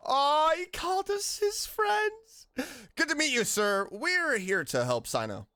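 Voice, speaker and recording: A man giving casual, playful commentary as he plays a game, jokey and expressive, talking back to the characters. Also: extremely high pitch, measured pace, no room sound. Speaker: streamer reacting while playing a game. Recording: game music behind the voice.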